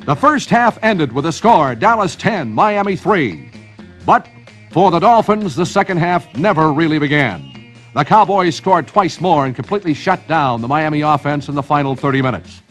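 An American man narrates a football highlight film from a dramatic script. His voice is fairly high and moves in a lively range, with music underneath. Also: moderate pace.

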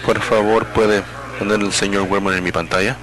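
A man with a very low voice works as a simultaneous interpreter at a public meeting. His delivery comes in halting fragments, and he often corrects himself mid-phrase. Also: level tone.

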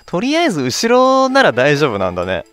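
A man's voice: on the high side, huge pitch swings, a little fast, fairly bright tone, smooth. A man giving casual gameplay commentary, often murmuring questions to himself as he plays. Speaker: let's play host. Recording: game music behind the voice. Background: video game music.

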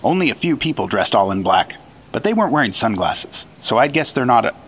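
Earnest agent in long reverb. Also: male voice, mid-range voice, very animated, brisk, a bit bright, husky. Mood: wary. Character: earnest male 1950s FBI agent sidekick. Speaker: actor, sidekick. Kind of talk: old-time radio drama acting. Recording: long reverb, off mic, faint music.

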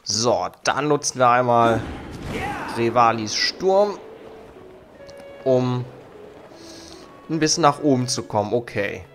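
A young man with a slight cold talks slowly and calmly through his gameplay at a home mic, sometimes reading the game text aloud. His voice sits at a middle pitch, and faint game music plays underneath. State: has a cold. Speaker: let's play host. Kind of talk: casual gameplay commentary. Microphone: studio mic on arm.

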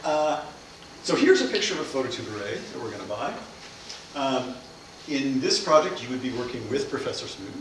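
Lively man lecturing in an echoey room. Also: mid-range voice, a little fast, recorded from a distance. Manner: lively, explanatory, asks questions then answers them. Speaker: physics lecturer. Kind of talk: science cafe lecture. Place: seminar room with projector screen. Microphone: far camera mic. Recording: echoey room recorded from afar, noisy.

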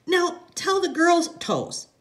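Storybook little girl acted by an adult narrator: shrill, high, loud and bossy, playful with her daddy. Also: very animated, slow pace, exaggerated voice. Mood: loud, eager.

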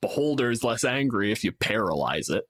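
A man's voice: fairly low pitch, big pitch swings, moderate pace, a bit bright, no echo, studio quality. A man co-hosting a casual, unscripted chat about tabletop games, swearing now and then and playing out hypotheticals. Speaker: podcast co-host.

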